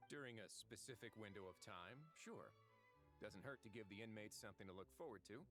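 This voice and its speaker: An actor playing a calm, laid-back duke in a video game, dry and teasing in manner. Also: male voice, on the low side, fairly quick, over music, natural voice. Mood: wry. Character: calm, wry, easygoing duke who oversees a prison. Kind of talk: English video game voice acting.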